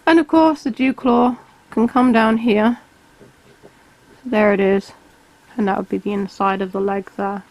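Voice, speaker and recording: A woman, calmly explaining each step of a drawing tutorial while she sketches. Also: mid-range voice, unhurried, pauses often, low-quality recording. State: has a cold, slightly hoarse. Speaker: art tutor.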